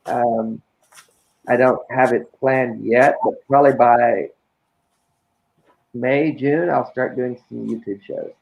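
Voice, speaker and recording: A man with a raspy voice, chatting casually as a guest. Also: mid-range voice, fairly even intonation, takes it slowly, pauses often, darkish tone, low-quality recording. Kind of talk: casual chat.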